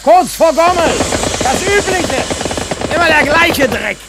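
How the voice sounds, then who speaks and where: Radio play role: fed-up cursing engine driver, loud train racket behind him. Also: male voice, very high voice, lively intonation, slow, heavy background noise, full character voice. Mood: grumbling. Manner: grumbling, cursing. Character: gruff, cursing wartime locomotive driver, fed up. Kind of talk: radio drama acting.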